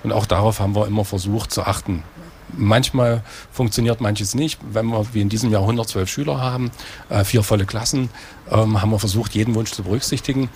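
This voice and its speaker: Low-voiced man explaining school matters. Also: darkish tone, a little hiss or hum. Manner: conversational, explaining at length. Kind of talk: answering interview questions.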